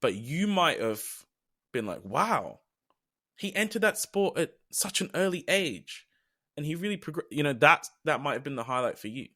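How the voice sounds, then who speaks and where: A man's voice: on the high side, sing-song, moderate pace, bright, forceful tone. A young British man co-hosting a podcast, talking in an earnest, reflective way with long trailing phrases. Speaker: podcast co-host. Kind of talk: casual podcast chat.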